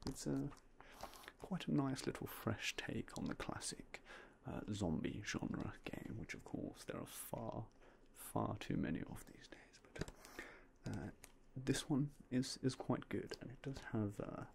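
A young man softly whispering in a polite ASMR roleplay as a game store clerk, close to a binaural mic. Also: medium pitch, expressive, unhurried, gravelly, a little hiss or hum. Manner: soft, polite, mostly unvoiced whispering.

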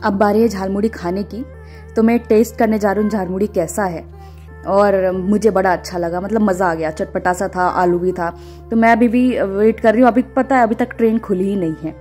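A woman's voice: mid-range voice, warm tone. A woman, narrating a travel vlog in a casual, chatty way as she talks to her viewers. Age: 20s. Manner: chatty, informal, addressing viewers. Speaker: travel vlogger. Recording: music under the voice. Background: background music.

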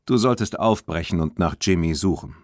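Male narrator as old grandfather, low pitch, terse, gruff, children's storybook, dry recording. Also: a little fast, dry recording, close to an everyday voice. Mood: stern, matter-of-fact.

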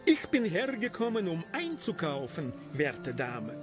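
A stiff, courtly manservant of a count, played by a man for an audio drama. He is haughty and elaborately polite. His fairly high voice moves a lot in pitch over clear background music. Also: wildly swooping pitch, unhurried. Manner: formal, archaic politeness.

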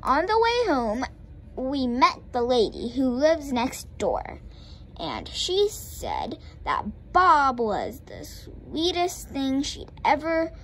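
A young girl reading a picture storybook aloud in an expressive, halting way. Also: on the high side, slight background noise, poor audio. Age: young child. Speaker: reader.

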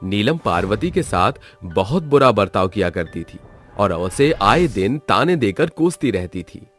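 A man's voice: lowish voice, very animated, a little slow, fairly bright tone, faint music behind. A man giving scripted narration as the storyteller of a horror tale.

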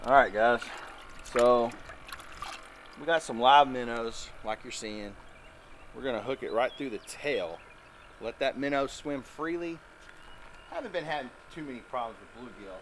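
A man's voice: medium pitch, slight background noise. A man hosting a fishing vlog from a boat by a dock, exclaiming and laughing as he talks. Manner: excitable, exclaiming, quick laughs. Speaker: fishing channel host. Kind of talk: excited outdoor fishing vlog.

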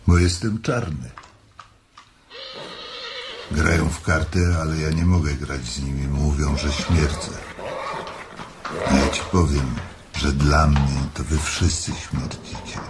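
A man's voice: extremely low pitch, fairly even intonation, slow pace, pauses often, heavy background noise. A man acting a bitter, lonely, proud stable hand in a Polish radio drama, speaking bluntly. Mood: bitter, hostile.

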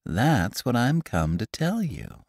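A man doing a gruff, scornful woodman. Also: mid-range voice, big pitch swings, slow, a little mellow, dry recording, clean studio sound, voice pushed up, full character voice. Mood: urgent. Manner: blunt, scornful. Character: gruff rough-spoken male woodman.

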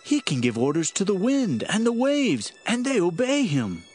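A man playing an excitable, awestruck disciple in a Bible audio drama, speaking with urgent astonishment. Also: sits high, normal speed, breathy edge, soft background music, heavily acted.

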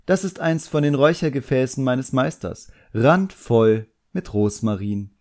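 A man's voice: mid-range voice, expressive, darkish tone, voice pushed up, lightly acted. A man voicing a clever, defiant boy, a magician's apprentice, in an audiobook. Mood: matter-of-fact. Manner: hesitant, drawn-out threats.

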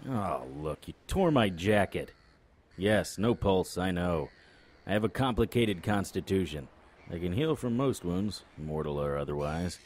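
An actor playing a cocky, unflappable supernatural private investigator in an audio drama, full of wry, flippant banter. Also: male voice, low-pitched, lively intonation, unhurried, a little mellow. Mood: impatient.

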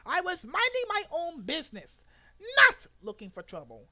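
A young man in his twenties performs a dramatic reading with an exaggerated, theatrical delivery. His pitch moves in lively swings and his tone is bright and forceful. He is recorded in a room at home. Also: normal speed. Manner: theatrical, exaggerated delivery with sudden loud outbursts. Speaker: online video creator. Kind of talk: dramatic reading of a social media post.

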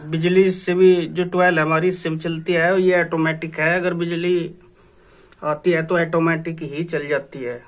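A man's voice: a little high, little pitch movement, moderate pace, no room sound. A young man giving a casual walking tour vlog of his village farmland, chatting to viewers as friends. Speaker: village vlogger. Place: outdoors on farmland by a pond.

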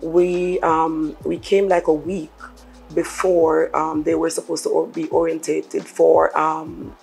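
A woman's voice: low-pitched, flat delivery, slow pace, vocal fry, darkish tone, soft background music. A woman in her forties from Jamaica, a guest telling her story in easygoing conversation, chatty and repeating herself for emphasis, on a lapel mic in an office. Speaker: interview guest. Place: bright office set, seated on sofa.

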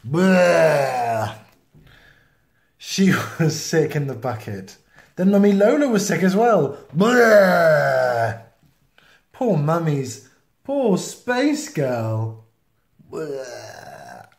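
A man reading a children's picture book aloud in an expressive, playful manner. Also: on the high side, vocal fry. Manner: expressive, slow, with playful sound effects and asides. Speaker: storytime reader. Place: small indoor room, seen close to the camera. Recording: roomy, echoey home video sound.

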